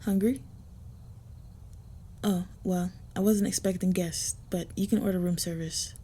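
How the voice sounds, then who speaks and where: A woman playing a protective, smitten female vampire in an intimate roleplay audio, speaking softly, gently and a little hesitantly. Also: lowish voice, fairly even intonation, a little slow, slight background noise, natural voice. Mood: gentle, awkward.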